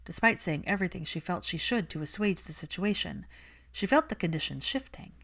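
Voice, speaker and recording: A woman's voice: fairly low pitch, expressive, brisk, creaky, fairly bright tone, breathy edge. A woman reading scripted fiction as an audiobook narrator.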